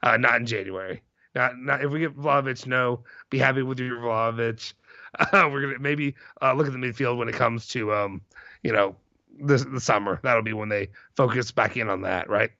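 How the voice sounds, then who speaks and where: Male livestream host, thirties, hoarse and creaky with a bright edge, chatting casually; dry, muffled lo-fi sound. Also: medium pitch, moderate pace, no echo. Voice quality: hoarse, gravelly. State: voice more hoarse than normal. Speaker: host of a football fan live show. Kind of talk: casual live chat, reading out and answering viewer comments.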